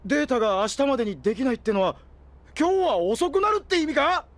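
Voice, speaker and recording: Flustered, animated young researcher. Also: male voice, very high voice, fast, no echo, heavily acted. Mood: panicking. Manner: hesitant, deferential, easily flustered. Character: flustered, earnest, timid young male junior researcher. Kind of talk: Japanese audio drama acting.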